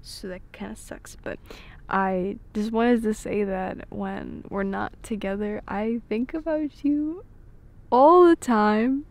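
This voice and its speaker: Woman doing a soft flirty gf for asmr roleplay, playful n affectionate, really slow, lots of vocal fry. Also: medium pitch. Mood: affectionate, shy. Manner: soft-spoken, giggly, breathy pauses, hesitant. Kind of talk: intimate ASMR roleplay, scripted but natural.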